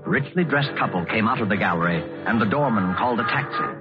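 A man announcing and narrating a 1940s radio drama in a measured, dramatic, scripted style. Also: on the low side, lively intonation. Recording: tinny old radio recording, loud music. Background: loud music.

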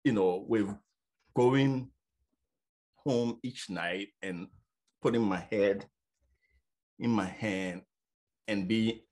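A man's voice: lowish voice, drawn-out words, pauses often, a little mellow. A man who works as a business coach, speaking on a casual panel. He stutters lightly, with repeated sounds and many "you know" fillers.

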